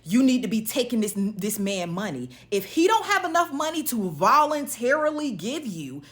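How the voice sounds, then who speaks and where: A woman's voice: fairly high pitch, sing-song, normal speed, a bit bright. A woman giving an opinionated monologue to viewers, blunt and emphatic, posing pointed rhetorical questions as she goes. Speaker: social media commentator.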